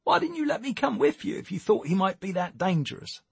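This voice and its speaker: A man's voice: high voice, big pitch swings, a little fast, creaky, darkish tone, husky, no room sound, pitched above the performer's own voice, exaggerated voice. A man voicing a concerned friend who asks urgent, worried questions in an audiobook.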